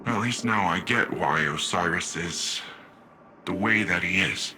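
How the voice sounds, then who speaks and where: An actor voicing a brash young warrior who talks in an earnest, halting, heartfelt way in video game dialogue. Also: male voice, low voice, background noise. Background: game score music.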